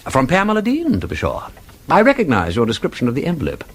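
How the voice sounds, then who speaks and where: An actor playing a witty, talkative aristocratic sleuth in a radio drama, with an upper-class English accent and a playfully pedantic, theatrical manner. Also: male voice, mid-range voice, wildly swooping pitch, quick pace, husky, full character voice. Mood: wry. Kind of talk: British radio drama acting.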